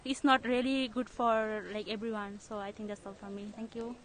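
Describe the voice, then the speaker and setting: A woman's voice: fairly high pitch, steady tone, moderate pace, low-quality recording. A young woman student from Bangladesh answering an interview question, hesitant and full of fillers.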